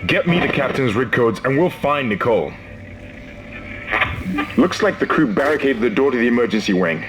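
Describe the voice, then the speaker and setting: An actor playing a tense squad commander, speaking urgently through a radio transmission. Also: male voice, mid-range voice, animated, moderate pace, noisy background. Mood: urgent orders.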